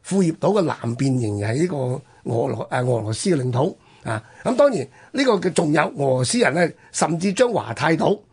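A man with a Hong Kong Cantonese accent hosting a history talk, telling it conversationally in halting, particle-filled phrases. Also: on the high side, very animated, creaky, darkish tone, breathy edge. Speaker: radio history programme host.

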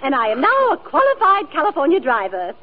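Shrill, gushing scatterbrained matron. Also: female voice, huge pitch swings, a little fast. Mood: proud. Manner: gushing, theatrical. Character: chatty, vain, scatterbrained society matron. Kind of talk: 1940s radio comedy acting. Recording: tinny band-limited old radio, audience.

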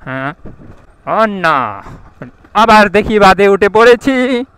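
A man's voice: sits high, sing-song, takes it slowly, a bit bright, smooth, low-quality recording. Chatty motovlogger man on the road. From India. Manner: chatty, thinks aloud, asks passersby for directions. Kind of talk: casual vlog narration while riding. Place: outdoors on village roads, riding a motorbike. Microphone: action camera mic.

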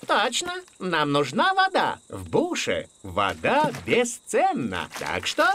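A man's voice: fairly high pitch, wildly swooping pitch, slow, pushed and bright, slight background noise, exaggerated voice. A man voicing a hearty, upbeat male outback kangaroo in a children's cartoon dub. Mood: instructive. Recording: music behind the voice.

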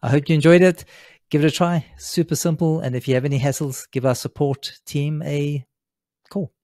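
Mellow, dry-sounding man presenting a tutorial. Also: on the high side, steady tone, normal speed, dry recording. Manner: informal, unscripted explaining. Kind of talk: casual screen-share tutorial, step by step. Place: indoors, seated at a computer.

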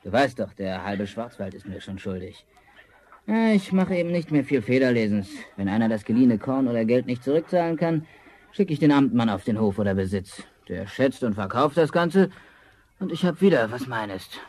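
A man plays a greedy, callous young man of wealth in a fairy-tale radio play. He speaks in a medium-pitched voice, theatrical and self-satisfied, with no warmth of heart. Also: lively intonation, moderate pace, close to an everyday voice. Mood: callous, boastful.

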